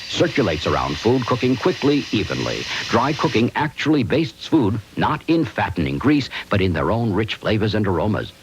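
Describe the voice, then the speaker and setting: A man announcing a TV product commercial in an upbeat, selling voice. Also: on the low side. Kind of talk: infomercial voice-over. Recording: noisy broadcast recording.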